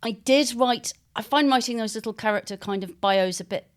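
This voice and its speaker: A woman novelist being interviewed, speaking conversationally and thoughtfully, often pausing to search for words. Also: a little high.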